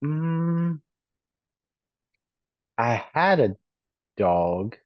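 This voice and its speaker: A man's voice: on the high side, sing-song, extremely slow, no echo. A man in his thirties, a client giving brief, hesitant answers during a reading over a video call. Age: 30s. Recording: dull, lo-fi video call audio.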